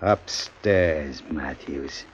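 An actor playing a cruel, threatening gangster in a 1940s radio drama, with a clipped manner, on a muffled old broadcast recording. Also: male voice, very deep voice, extremely slow, slight background noise, heavily acted. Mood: menacing. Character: menacing male gangster, cruel, armed husband.

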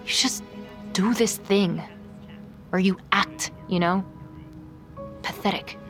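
An unhurried, dry-voiced vampire woman, centuries old, in an audio drama. She is curt, aloof and exasperated. Music runs clearly beneath her lines. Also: mid-range voice, a bit bright. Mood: irritated, exasperated. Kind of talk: scripted audio drama acting. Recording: music underneath voice.

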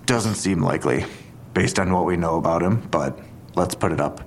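An actor playing a skeptical police detective in an audio drama, talking in a terse, dry, deliberate way. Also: male voice, low-pitched, slight background noise, lightly acted. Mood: measured, businesslike.

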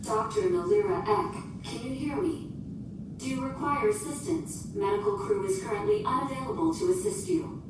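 Echoing, high-voiced female ship computer. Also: big pitch swings. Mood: formal, insistent. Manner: measured, stilted, precise official phrasing. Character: female ship computer, literal, formal, unflappable and emotionless. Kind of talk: science fiction audio drama. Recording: echoing, distant, noisy ship ambience.

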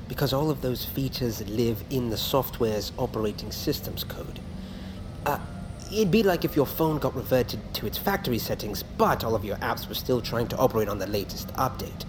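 Stressed, expressive male ship engineer played by an actor. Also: medium pitch, normal speed, noisy background, close to an everyday voice. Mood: weary, explaining. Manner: technical explaining, exasperated sighs. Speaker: actor in audio drama. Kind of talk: naturalistic audio drama acting.

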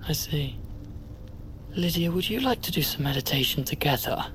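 An actor playing a mild, eccentric uncle who muses philosophically in a gentle, halting way, in an audio drama. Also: male voice, fairly high pitch, expressive, slight background noise. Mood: gently curious. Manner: gentle, halting, deliberate musing.

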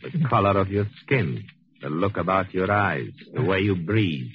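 Low-voiced, pompous convict mastermind. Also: male voice, expressive. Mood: sinister, insinuating. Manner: pompous, measured, condescending. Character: cold, arrogant intellectual male murderer in prison. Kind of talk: 1940s radio acting. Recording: dull 1940s radio recording.

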